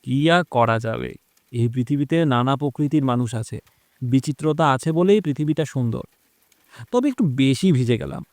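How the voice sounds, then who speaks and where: A man voicing an earnest, soft-spoken young working man in an audio story. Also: medium pitch, expressive, normal speed, no room sound, studio quality, pitched below the performer's own voice, close to an everyday voice. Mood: calm, resigned. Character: calm, earnest young working man devoted to his mother.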